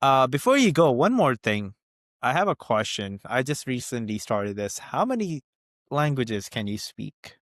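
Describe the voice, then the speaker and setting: A man hosting a casual interview show, speaking haltingly and often rephrasing himself. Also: medium pitch, very animated, a bit bright, dry recording, studio quality. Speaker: webisode host.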